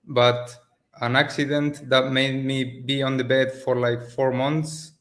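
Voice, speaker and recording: A man from Spain, a native Spanish speaker in his late twenties, talking relaxed and casual as a guest on a video call, with loose phrasing and restarts. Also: medium pitch, takes it slowly. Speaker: agency owner, guest. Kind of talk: casual interview over a call. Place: rented office in Mexico.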